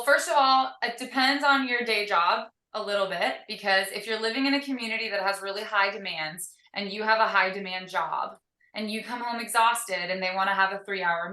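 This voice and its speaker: A woman guest in a casual video-call interview, talking in an energetic, upbeat way with frequent fillers from her tiny home. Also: medium pitch, echoey room. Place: tiny shipping-container home.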